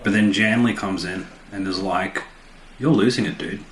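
A man with a slightly low voice chats as a podcast co-host, informal and wry. He sounds like he is in an echoey room with faint noise behind him. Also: normal speed. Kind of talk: casual chat, commenting on TV episodes.

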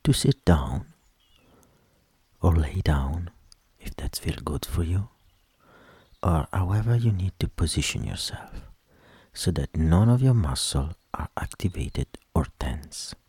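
A man leading a guided meditation in a soft, soothing voice with gentle instructions that trail into whispers. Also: deep voice, lively intonation, breathy edge, no room sound. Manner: slow, gentle instructions with long pauses.

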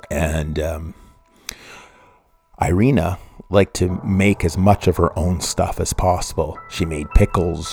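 A man's voice: deep voice, gravelly, a little mellow. A middle-aged man, hosting a true crime podcast and telling a personal story in a somber, rambling way, often correcting himself. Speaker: podcast host.

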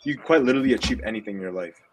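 A man's voice: on the low side, lively intonation, racing, a little hiss or hum, low-quality recording. A young man from Ottawa, a podcast guest, talking casually in a loose, rambling way with lots of fillers. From Ottawa, Canada. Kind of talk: casual chat.

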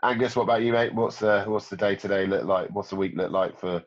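Upbeat young male host, lowish voice. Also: steady tone, fast talker, lo-fi sound. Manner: upbeat, informal. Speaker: host of a video call chat. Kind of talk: casual chat.

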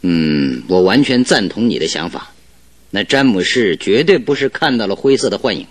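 Analytical detective, radio play, good-humoured, authoritative, fairly low pitch, very expressive melody. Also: male voice, moderate pace. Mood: amused, agreeable. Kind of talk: Mandarin radio drama acting.

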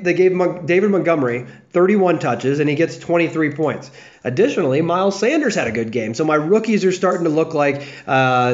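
Chatty young man, lo-fi podcast. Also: fairly high pitch. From United States, south of the Chicago area. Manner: rambling, chatty, with restarts and fillers. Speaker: fantasy football podcast host. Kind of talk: casual solo podcast chat. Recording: dull lo-fi podcast audio.

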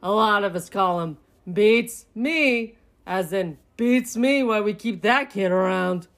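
A woman's voice: mid-range voice, takes it slowly. A woman voicing a retired old raccoon father in a story, giving easygoing, folksy advice with wry fondness. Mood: wry, teasing. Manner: easygoing, advising, colloquial.

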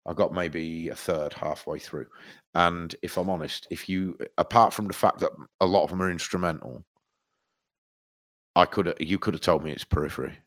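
Very low-voiced man, a bit stuffed up. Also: moderate pace. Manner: rambling, restarts a lot, swears casually. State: stuffed up with hay fever. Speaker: podcast co-host. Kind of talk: casual music chat.

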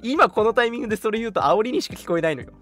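A man's voice: high voice, lively intonation, racing, a bit bright. A young adult man hosting a casual radio chat, reflective and teasing in his manner. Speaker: radio show host. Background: background music.

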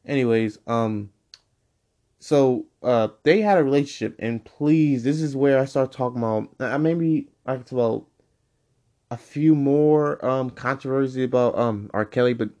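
A man's voice: mid-range voice, a little slow, warm tone. A man in his twenties hosting his own podcast in a casual, rambling way, full of fillers and self-corrections. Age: 20s. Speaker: podcast host. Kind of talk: casual solo podcast monologue.

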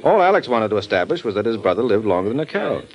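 An actor playing a wry, teasing private detective who lays out a case in an old radio drama. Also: male voice, lowish voice, big pitch swings, racing. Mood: explaining. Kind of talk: 1950s radio acting. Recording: dull old radio broadcast with music.

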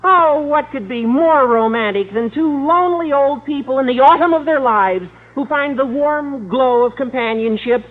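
An actor playing an overeager, earnest American high school boy with a cracking adolescent voice in a radio comedy. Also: moderate pace, few pauses. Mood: earnest, gushing. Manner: gushing, exaggeratedly earnest. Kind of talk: old-time radio situation comedy acting. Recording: tinny vintage radio recording, noise, studio audience.

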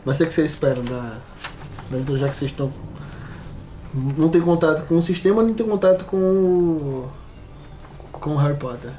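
A Brazilian man with a soft-edged, mellow tone and a fairly high pitch. He talks casually, as part of a podcast panel. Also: brisk, long pauses. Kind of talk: casual chat about tabletop role-playing.

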